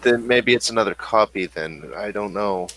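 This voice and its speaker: Man, game master, low voice, crisp bright tone, hesitant relaxed narration. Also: moderate pace.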